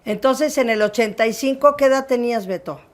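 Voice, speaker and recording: A woman's voice: on the high side, a little fast. A woman with a Mexican accent hosting an interview, asking questions and adding encouraging remarks. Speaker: interview host. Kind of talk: casual interview chat.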